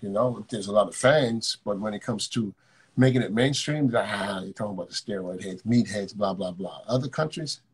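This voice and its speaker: Blunt, husky man on a video call. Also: lowish voice. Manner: blunt, joking, repeats words when talking fast. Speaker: coach and live stream host. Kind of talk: casual live stream chat with callers. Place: hotel room bed.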